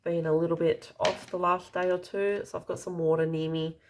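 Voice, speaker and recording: An Australian woman with a slightly croaky, dry voice, casually narrating as she counts out cash in an unhurried way. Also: lowish voice, flat delivery, slight background noise. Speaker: budgeting vlogger.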